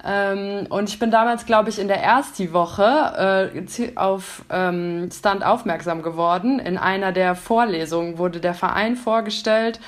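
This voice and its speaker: A woman's voice: mid-range voice, unhurried, hardly any pauses. Enthusiastic woman guest on a podcast. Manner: enthusiastic, fluent, frequent fillers. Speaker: guest, business psychologist and coach. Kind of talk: casual podcast interview.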